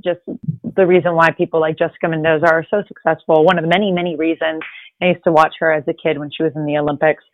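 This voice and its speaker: A woman's voice: low-pitched, flat delivery, fast talker, no echo. A young adult woman, a native Spanish speaker, talking as a sports reporter guest in an earnest, rambling way with light laughs. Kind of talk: casual conversational interview. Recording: dull lo-fi sound.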